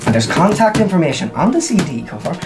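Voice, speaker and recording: A man's voice: fairly high pitch, sing-song, fast talker, music in the background. A man with an Irish accent playing a nervous, pushy pub musician in a radio drama, stammering and eager. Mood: flustered, pushy.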